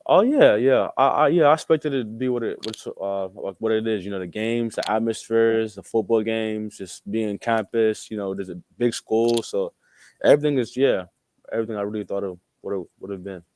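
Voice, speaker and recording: A man's voice: lowish voice, normal speed, a little mellow, slight background noise. A young man from Australia, a college athlete being interviewed, talking casually with informal, repetitive phrasing. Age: young adult. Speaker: college basketball player, interview guest.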